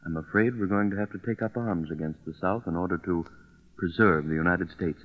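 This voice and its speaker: An actor playing an earnest, courteous government envoy, formal and grave, in old radio drama style. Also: male voice, sits low, fairly quick, close to an everyday voice. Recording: tinny phone-like old radio, long reverb.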